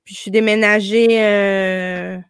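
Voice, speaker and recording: A woman's voice: mid-range voice, level tone, slow pace, clear, resonant tone, no echo. A woman from Ontario with a Québécois French accent, chatting casually as a podcast guest and telling stories with dry asides. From Ontario, Canada. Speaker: comedian guest.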